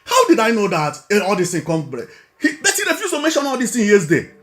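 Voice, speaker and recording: Animated, high-pitched Nigerian man commentating. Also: quick pace. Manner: emphatic, repetitive, rhetorical questions. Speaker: online video commentator. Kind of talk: informal political commentary, repeating and explaining points.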